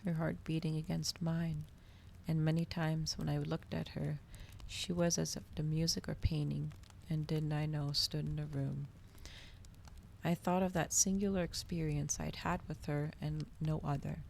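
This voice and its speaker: A soft-spoken woman reading a novel aloud in an ASMR style, at times close to a whisper. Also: sits low, little pitch movement, measured pace, vocal fry, slight background noise.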